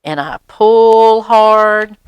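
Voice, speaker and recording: A woman voicing an excitable, dramatic little girl from a picture book, gushing and exclaiming with drawn-out words. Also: medium pitch, smooth, no echo, muffled recording. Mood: alarmed.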